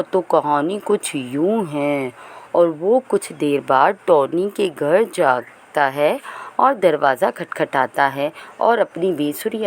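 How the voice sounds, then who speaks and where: A woman's voice: low voice, a little hiss or hum. A woman narrating a scripted children's story as the storyteller.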